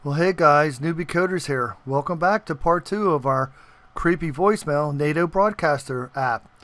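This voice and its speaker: A man's voice: on the high side, fairly bright tone. A man hosting a casual screen-recorded coding tutorial, talking informally and often checking in with the listener. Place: indoors, voice-over on screen capture.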